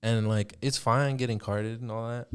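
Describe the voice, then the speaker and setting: A man's voice: mid-range voice, fairly even intonation, moderate pace, clear, resonant tone. A man in his early twenties chatting casually as a podcast co-host, with a hesitant, rambling, slangy way of talking. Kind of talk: casual conversational chat.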